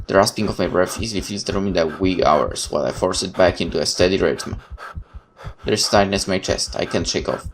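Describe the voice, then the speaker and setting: A man reading horror game text aloud as a video host, theatrical and expressive, with informal reactions. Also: deep voice, brisk. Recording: noticeable background noise.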